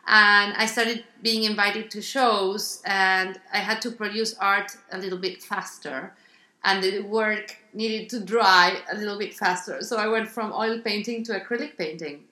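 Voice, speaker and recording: A woman's voice: medium pitch, fairly even intonation, unhurried, sharp tone, roomy sound, rough recording. A middle-aged woman from Argentina with an Argentine accent, describing her art in a radio interview, thoughtful and hesitant with many ums and restarts. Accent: Argentine Spanish. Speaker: artist guest.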